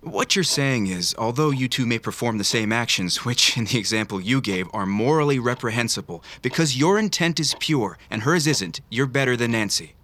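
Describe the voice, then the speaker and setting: A man playing a calm, analytical, therapist-like questioner in an audio drama, measured and clinical. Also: mid-range voice, close to an everyday voice. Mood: probing, measured. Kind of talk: audio fiction acting.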